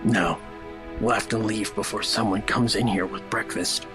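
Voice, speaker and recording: A man's voice: fairly low pitch, steady tone, normal speed, music in the background, lightly acted. A man playing a stiff, brooding cartoon hedgehog antihero, stern and dry, in a fan fiction reading.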